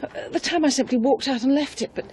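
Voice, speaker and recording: A woman's voice: sits high, fast talker, a little mellow, a little hiss or hum, close to an everyday voice. An actress playing a tender, anxious newlywed English woman in a radio drama, well-spoken, wistful and polite. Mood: uneasy.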